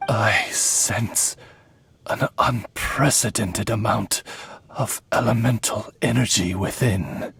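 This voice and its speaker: A man's voice: fairly low pitch, slow, gravelly, fairly bright tone, full character voice. A man voicing a loyal, courtly raven familiar, formal and deferential, in an anime-style video game. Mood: grave. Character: courtly male raven familiar, loyal butler to young mistress. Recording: voice over game music. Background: orchestral game music.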